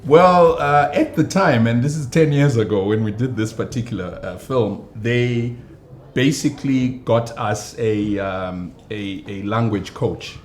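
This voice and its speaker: A man, a pastor and actor, answering interview questions in a measured, hesitant way with many fillers. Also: mid-range voice. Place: studio. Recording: echoey studio with faint noise.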